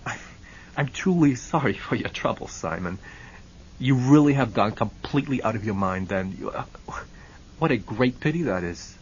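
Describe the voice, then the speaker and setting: A man's voice: mid-range voice, animated, moderate pace, highs cut off, slight background noise, close to an everyday voice. A man doing a blustering, sputtering hothead. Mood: wary. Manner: sputtering, shouting. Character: blustering, indignant hot-tempered man.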